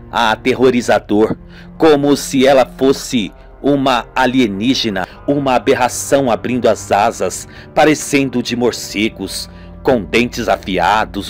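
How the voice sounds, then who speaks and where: A man's voice: on the low side, pitched below the performer's own voice, close to an everyday voice. A man voicing an earnest, weary police inspector in an amateur radio soap, over music. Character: weary, earnest male police inspector recounting grim cases.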